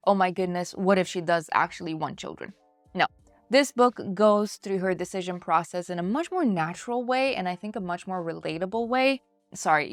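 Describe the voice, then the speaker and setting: A woman's voice: fairly low pitch, no echo. A woman hosting a book review in a chatty, conversational way, speaking directly to her viewers. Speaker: book reviewer and channel host.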